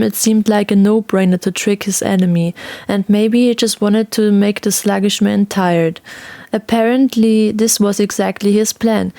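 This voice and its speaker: A woman's voice: mid-range voice, vocal fry, dark tone, dry recording. A woman narrating a fantasy audio story in a dramatic, scripted storytelling style.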